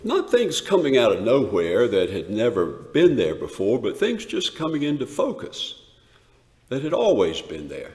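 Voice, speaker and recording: A man performing a dramatic monologue as a rough, awestruck shepherd recalling a wondrous night, with emphatic pauses. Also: mid-range voice, wildly swooping pitch. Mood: awed, building. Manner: emphatic, dramatic pauses, swells from hushed to shouted. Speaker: dramatic reader. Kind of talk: theatrical dramatic monologue reading. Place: large church, live performance. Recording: echoey church hall, long reverb.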